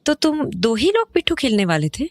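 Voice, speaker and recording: An uneasy mother played by an actress in a horror audio drama. Her voice is fairly high and very animated, questioning and conversational, recorded close and dry. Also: a little fast, no room sound. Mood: puzzled. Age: adult. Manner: questioning, conversational, Hindi mixed with English. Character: uneasy mother and wife, returning to her old home.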